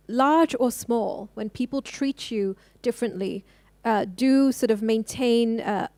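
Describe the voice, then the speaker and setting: A woman's voice: fairly high pitch, darkish tone, dry recording. A woman from Hong Kong who works as a lawyer, talking on a panel in a thoughtful way with many "uh"s and restarts. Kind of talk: panel discussion.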